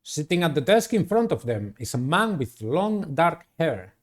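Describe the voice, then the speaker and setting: A man's voice: a little high, sing-song, normal speed, slight background noise. A man reading a visual novel aloud as a playthrough, with dramatic, theatrical storytelling. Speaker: game player reading aloud.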